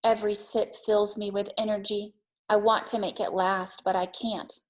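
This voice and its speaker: A woman's voice: medium pitch, flat delivery, moderate pace. Female teacher for a kids' read-aloud and lesson, simple, encouraging, child-directed delivery. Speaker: teacher reading aloud and setting a challenge for young children.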